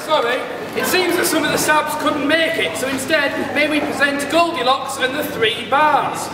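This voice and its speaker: High-voiced man presenting, distant and noisy. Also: moderate pace, over a noisy crowd. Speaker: pantomime presenter. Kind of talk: comic scripted stage introduction. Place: large hall, live stage performance, recorded from afar. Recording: distant, echoey hall, loud background noise.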